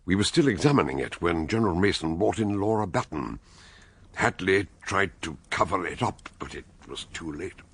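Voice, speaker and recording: An actor playing the grave, measured narrator of a radio detective mystery, telling it with suspense. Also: male voice, deep voice, animated, a bit bright, husky, dry recording, heavily acted.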